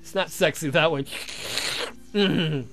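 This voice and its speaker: A man's voice: a little high, slow pace, fairly bright tone, faint music behind, rough recording. A man hosting a let's play, reading a visual novel aloud and breaking off into self-mocking asides.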